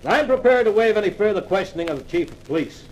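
Cocky, sardonic columnist, swinging pitch. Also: male voice, animated, a little mellow, dull audio. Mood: pressing. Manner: wry, clipped, deliberate. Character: cocky, sardonic newspaper columnist, cool under questioning. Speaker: actor playing the lead and narrator. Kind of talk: 1950s radio detective drama acting and narration.

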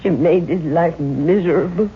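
A woman's voice: on the low side, moderate pace, natural voice. An actress playing a bitter, grieving older mother with an American accent in a 1950s radio drama. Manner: emotional, wounded, bitter. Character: grieving, bitter elderly mother of a murdered son. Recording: muffled old radio recording, faint noise.

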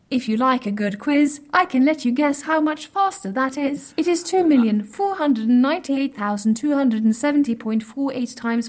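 A high-pitched, pedantic artificial intelligence. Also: female voice. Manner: stilted, precise, deadpan, over-formal. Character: literal-minded, pedantic, smug artificial superintelligence. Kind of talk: scripted audio comedy drama.

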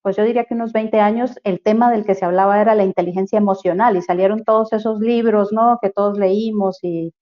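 A woman from Costa Rica with a Costa Rican accent, speaking as an interview guest on human rights, thoughtful and halting, with many fillers and restarts. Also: mid-range voice, steady tone. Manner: reflective, many fillers and restarts, searching for words. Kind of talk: casual interview talk. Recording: dull lo-fi audio.

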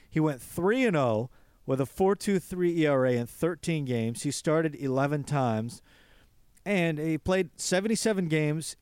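A man hosts a baseball show, his voice smooth and resonant in a dry, close-miked sound. He talks with casual enthusiasm, running through numbers and stories at a lively clip. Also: a little high, dry recording. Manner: enthusiastic, reels off stats. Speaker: baseball podcast host. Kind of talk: casual sports talk monologue.

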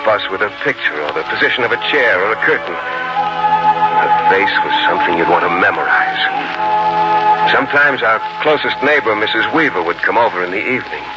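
An actor playing a tender, hopeful young settler husband in 1940s radio drama style, with an earnest, romantic delivery. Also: male voice, lowish voice, huge pitch swings, fairly quick. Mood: lyrical, reflective. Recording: muffled 1940s radio recording with music.

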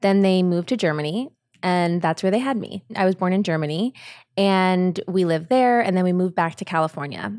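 Low-voiced chatty woman. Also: lively intonation, moderate pace, darkish tone, no room sound, clean studio sound. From Ohio, USA. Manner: chatty, upbeat storytelling. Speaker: guest, brand founder. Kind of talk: casual interview conversation.

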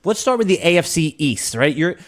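A man's voice: on the high side, sing-song, brisk. Chatty, rambling man hosting a podcast. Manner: rambling, with many restarts and filler words. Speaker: podcast host. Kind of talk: casual podcast chat.

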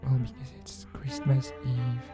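A woman hosting a podcast, thanking her listeners in a soft, often near-whispered, unhurried voice. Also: rumbling low, monotone. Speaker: podcast host. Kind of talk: thank-you message to listeners. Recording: cello music playing under the voice.

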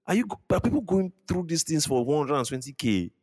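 A man's voice: on the high side, big pitch swings, fast, darkish tone, dry recording, studio quality. A young Nigerian man co-hosting a casual podcast, bantering and joking about himself, slipping into Pidgin. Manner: joking, self-mocking banter with Pidgin phrases. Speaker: podcast co-host. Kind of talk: casual chat.

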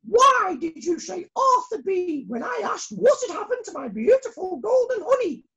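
A woman voicing a bossy, furious female queen bee in a storybook, who shouts and shrieks. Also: very high voice, sing-song, moderate pace, highs cut off, pitched above the performer's own voice, full character voice. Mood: demanding.

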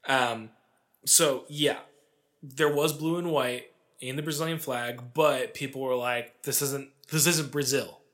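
A man's voice: medium pitch, fairly even intonation, a little slow, fairly bright tone. A man hosting a podcast, telling sports history in a casual, conversational way with wry asides. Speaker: podcast host. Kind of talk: casual storytelling about sports history, part scripted.

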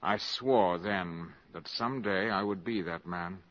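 An actor playing a suave, cynical valet turned spy in a 1950s radio drama, with urbane, precise, sardonic diction. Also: male voice, deep voice, a little slow. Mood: wistful. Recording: dull 1950s radio broadcast recording.

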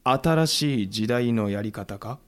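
A man acting a fiery, blunt, hot-tempered but loyal samurai commander in a historical audio drama, with rough, forceful speech. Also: mid-range voice, takes it slowly, lightly acted. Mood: blunt indignation. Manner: rough, forceful speech, bellowed battle orders.

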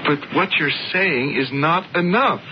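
An actor playing a lovesick, anguished sculptor, the younger brother in a radio drama, speaking earnestly with halting, stammering phrasing. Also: male voice, a little high, close to an everyday voice. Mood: frustrated. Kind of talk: 1970s radio drama acting. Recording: dull, noisy old radio broadcast.

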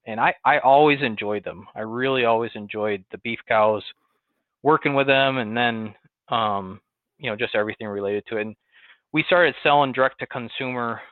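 Western New York farmer on a tinny line. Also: male voice, mid-range voice, dry recording. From Western New York, near Buffalo. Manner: relaxed storytelling with many "um"s and restarts. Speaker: guest, cattle farmer. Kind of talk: conversational interview. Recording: tinny phone-like line, dry.

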